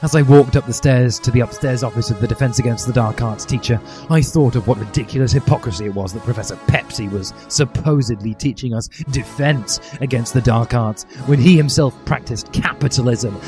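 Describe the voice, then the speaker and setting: Male reader, medium pitch, mock-dramatic narration of comic fan fiction, emphatic, music underneath. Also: brisk, few pauses, a little mellow. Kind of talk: mock-earnest dramatic story reading with character voices.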